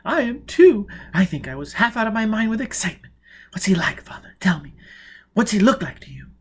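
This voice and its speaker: A man voicing a sheltered, excitable young upper-class woman in an audiobook, breathless and dramatic. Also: high-pitched, brisk, breathy edge, pitched above the performer's own voice, heavily acted. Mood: breathless excitement. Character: sheltered, excitable rich young blind daughter, enthralled by danger.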